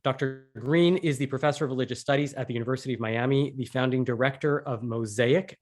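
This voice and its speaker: Guy hosting a podcast, medium pitch, conversational explainer type delivery. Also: a little mellow. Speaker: podcast host.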